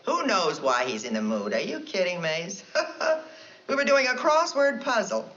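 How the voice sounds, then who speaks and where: A woman's voice: medium pitch, big pitch swings, measured pace. A woman playing a chatty married woman gossiping on the phone, firing off punchlines and laughing at them. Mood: gossipy. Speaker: comedienne doing a phone monologue. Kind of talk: 1960s sitcom acting. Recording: dull old TV audio with loud studio audience.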